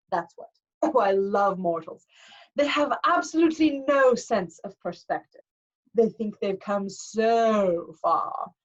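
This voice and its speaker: A woman voicing a pudgy, impatient male camp director with a scornful, slow drawl. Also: on the high side, muffled recording, pitched above the performer's own voice. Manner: drawling, scoffing, slow and emphatic.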